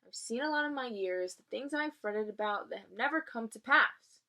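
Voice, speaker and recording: Woman reading as a bright-voiced, wise old oak tree. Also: fairly high pitch, animated, normal speed, rough recording. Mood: reassuring, joking. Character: wise old female talking oak tree, steady optimist.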